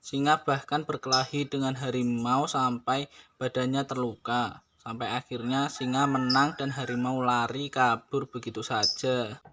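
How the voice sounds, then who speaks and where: An earnest goat from a puppet fable acted by a young man, with a bright, forceful voice. It questions and explains with emphasis. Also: mid-range voice, flat delivery, unhurried. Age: young adult. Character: earnest goat defending a misjudged lion. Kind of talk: puppet-show fable dialogue.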